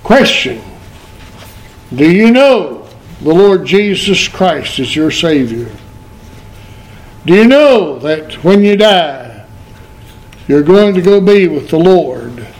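An old man in his eighties preaching a sermon in a church, quoting scripture in slow, emphatic phrases. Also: a little high, sing-song. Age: 80s. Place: church sanctuary. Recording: very echoey church from far off.

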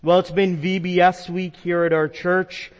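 A man's voice: high-pitched, level tone, measured pace, in a large hall. A man from the New York metro area preaching a sermon as a pastor, earnest and conversational with some fillers. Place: church sanctuary.

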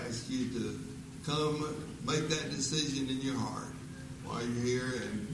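Slow, earnest elderly preacher in an echoey hall. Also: male voice, mid-range voice. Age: 80s. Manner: slow, earnest, repeated pleading questions. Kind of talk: closing sermon with altar call. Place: church auditorium. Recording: echoey auditorium from a distance with noise.

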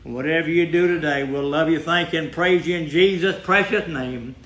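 A man's voice: fairly high pitch, moderate pace, muffled recording, background noise. A man, preaching a folksy sermon and calling out for amens. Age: 70s. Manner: folksy, emphatic, calls for amens. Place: church sanctuary. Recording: very echoey church room.

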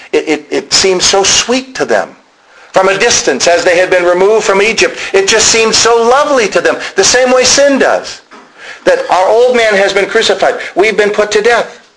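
A man's voice: sits high, fairly bright tone, poor audio. A man preaching a sermon, explaining to listeners directly and repeating key phrases for emphasis.

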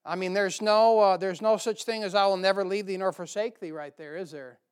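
A man's voice: high voice, steady tone, brisk, clean tone. A middle-aged American man preaching a church sermon and reading from the Bible, with informal, folksy asides to the congregation.

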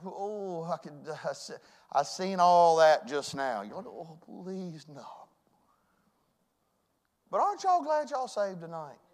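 A man preaches to his congregation. His voice sits high for a man. He rises to loud, ringing calls, then drops to hushed, earnest asides. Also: big pitch swings, takes it slowly. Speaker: pastor. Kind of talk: sermon. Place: church.

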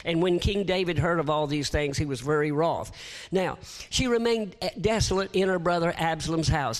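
An older man preaching in a church with a shrill, somewhat high tenor voice. He reads scripture aloud and slips folksy, joking asides in between the verses. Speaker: guest preacher. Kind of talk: sermon.

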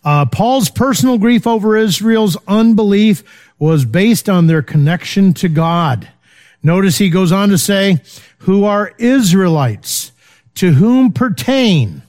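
A man's voice: high-pitched, expressive, takes it slowly, a little mellow. A man teaching a Bible study in a loose, conversational way, full of fillers and checking in with his listeners. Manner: informal, many fillers, checks in with "okay?".

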